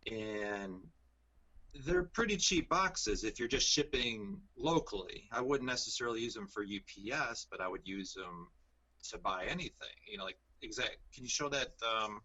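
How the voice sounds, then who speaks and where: A man's voice: lowish voice, animated, moderate pace, sharp tone, muffled recording. A man appearing as a guest over a video call, easygoing, filling his talk with "like" and "you know". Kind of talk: casual video-call chat.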